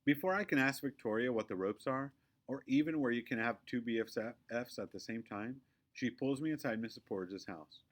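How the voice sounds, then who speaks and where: A man reading a children's story aloud as narrator, in an expressive, emphatic read-aloud style. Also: mid-range voice, normal speed. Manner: animated, emphatic. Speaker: storybook reader.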